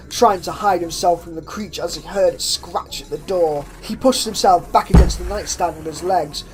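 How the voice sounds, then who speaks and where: A woman with a slightly low voice reads a horror tale aloud. Her delivery is theatrical and suspenseful, stretching out phrases for tension. A clearly audible layer of ambient noise sits behind her. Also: darkish tone, rough recording. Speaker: fan fiction narrator. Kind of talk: dramatic horror story reading.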